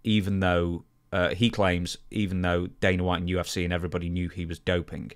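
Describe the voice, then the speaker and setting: Man, very low-pitched voice, podcast co-host, casual sports talk, explanatory. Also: level tone, brisk, clear, resonant tone.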